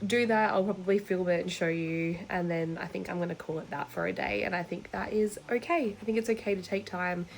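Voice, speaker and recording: A woman's voice: on the low side, a little fast, gravelly, hardly any pauses, a little hiss or hum, rough recording. A woman chatting informally to camera in a personal vlog, rambling and confiding in the listener. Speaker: vlogger. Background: background music.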